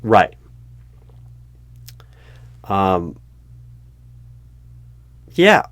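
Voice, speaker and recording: A man playing a flustered, earnest recruiting manager in a one-sided job interview roleplay, speaking haltingly and often correcting himself. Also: low-pitched, sing-song, a bit bright, no room sound, exaggerated voice. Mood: suspicious, resigned. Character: flustered, unobservant male corporate recruiting manager, earnest, exasperated. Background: computer keyboard typing.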